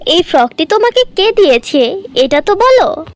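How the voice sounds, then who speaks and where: A woman voicing an envious, greedy young girl in a cartoon fairy tale, shrill and emphatic. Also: big pitch swings, normal speed, dry recording. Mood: astonished.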